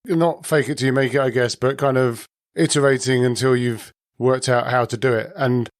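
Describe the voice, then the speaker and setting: A man's voice: medium pitch, fairly even intonation, moderate pace, no room sound, clean studio sound. A man hosting a podcast, asking long, conversational questions. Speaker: podcast host. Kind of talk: podcast interview.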